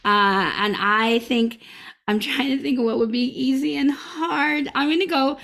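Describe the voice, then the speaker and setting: A woman hosts a live improv comedy show over a video call. Her voice sits a little high, and her talk is upbeat and chatty, full of fillers and self-corrections. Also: unhurried, vocal fry, fairly bright tone.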